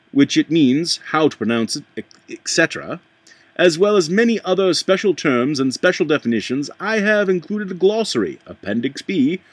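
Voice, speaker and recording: Halting man reading aloud, lively pitch. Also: no room sound. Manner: halting, stumbles and rereads lines; puts on an accent while reading. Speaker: amateur reader.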